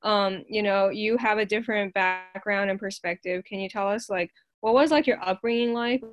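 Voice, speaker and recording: A woman's voice: mid-range voice, normal speed, soft background music. A woman hosting a casual interview, friendly and affirming, often saying "like". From outside the US. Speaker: interviewer, host.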